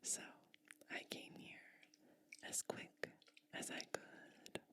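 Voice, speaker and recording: Female ASMR performer, gentle witch roleplay: fully whispered, very slow, soothing personal attention. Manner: soothing, gentle, unhurried instructions. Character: caring witch soothing someone to sleep.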